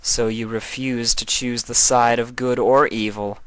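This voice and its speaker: Haughty dark winged mare acted by a man, storybook villainess: stern, imperious, dryly sardonic, raised pitch, very dry recording. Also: level tone, unhurried, clear, resonant tone, dry recording, close to an everyday voice. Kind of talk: fan fiction character reading.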